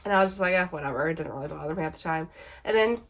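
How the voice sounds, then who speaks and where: Slightly hoarse chatty young woman. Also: low voice, racing. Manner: chatty, laughs often, many fillers. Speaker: pet vlogger. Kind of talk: casual chat to camera.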